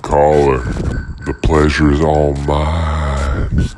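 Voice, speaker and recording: A man hosting a call-in radio show with theatrical, smooth-talking showmanship. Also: rumbling low, monotone, extremely slow, crowd noise.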